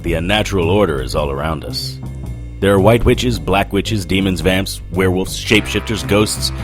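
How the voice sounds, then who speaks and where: An actor playing a sardonic, weary supernatural detective in a radio drama with noir-style narration. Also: male voice, deep voice, normal speed, music in the background, lightly acted. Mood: hard-boiled narrating. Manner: sardonic, dry.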